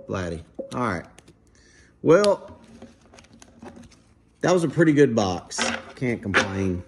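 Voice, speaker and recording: A man casually commenting to viewers while opening trading card packs at a dining table at home, in a relaxed, chatty way. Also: mid-range voice, background noise. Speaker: card breaker, channel host.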